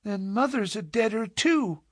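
Man as a little frontier girl, high thin voice, innocent, prattling, earnest, storybook character, lively swoops. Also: a little slow, breathy edge, no echo, studio quality, full character voice. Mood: tearful. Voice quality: thin, lighter voice. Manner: childlike, earnest, artless prattle.

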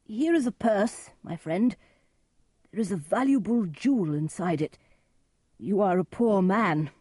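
Lady reading as blind old nobleman, sad n solemn, slow storybook drama, pitch moves a lot. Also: lively intonation, warm tone, breathy edge, no room sound, studio quality. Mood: despairing, solemn. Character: blind old nobleman, despairing then resigned.

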